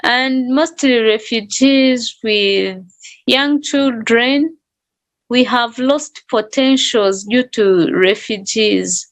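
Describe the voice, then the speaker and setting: Female panel speaker, unhurried and fairly high-voiced, delivering an earnest appeal.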